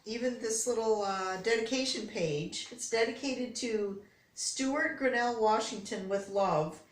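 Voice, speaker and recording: A woman's voice: on the high side, measured pace. An older American woman reads a children's picture book aloud expressively, with chatty personal asides. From Detroit, United States. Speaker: storybook reader. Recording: roomy, echoey, distant microphone.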